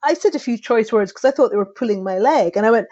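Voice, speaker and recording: A woman's voice: a little high, fast talker, highs cut off. Scottish woman telling a personal story. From Scotland. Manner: animated anecdotal telling with frequent restarts and laughter. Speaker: podcast guest.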